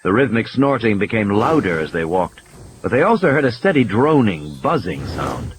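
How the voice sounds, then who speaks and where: A man's voice: lowish voice, very animated, normal speed, slight background noise. A man reading scripted audiobook narration, with ambience and sound effects behind him.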